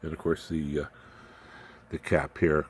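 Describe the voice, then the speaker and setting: A man's voice: extremely low pitch, level tone, unhurried. A man presenting an informal hands-on electronics demonstration in a folksy, rambling, plain-spoken way. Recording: clear background noise, room ambience.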